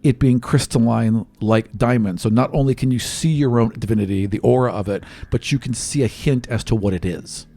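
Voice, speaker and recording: A man's voice: mid-range voice, brisk, vocal fry, hardly any pauses, mellow. A man narrating a tabletop role-playing game as game master in an improvised, conversational way.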